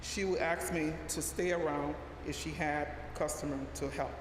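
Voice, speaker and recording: A middle-aged woman from Liberia, reading a sermon in a measured, deliberate way with a few restarts. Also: sits low, steady tone. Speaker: lay preacher, social worker. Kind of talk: sermon read from a prepared text. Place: church sanctuary. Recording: echoey church hall, faint background hum.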